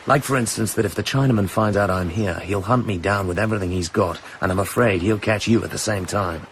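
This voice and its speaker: Actor as a cool, gravelly English secret agent. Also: male voice, fairly low pitch, a little fast, a little hiss or hum, close to an everyday voice. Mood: serious, firm. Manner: clipped, controlled, understated. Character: cool, self-assured English secret agent, protective and probing. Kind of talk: radio drama acting.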